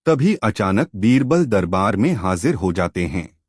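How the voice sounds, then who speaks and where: A man narrating a scripted moral story for children in a measured, expressive storytelling manner. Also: on the low side, breathy edge, no echo, clean studio sound.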